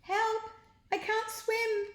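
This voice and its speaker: A blustering, pompous headmaster from a children's storybook, acted out by a woman. The voice is pushed high and loud, bellowing and wailing with outrage. It sounds in a roomy, echoing space. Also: flat delivery, extremely slow, heavily acted. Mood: panicked. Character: furious blustering male headmaster, pompous and panicking. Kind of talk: children's storybook read-aloud.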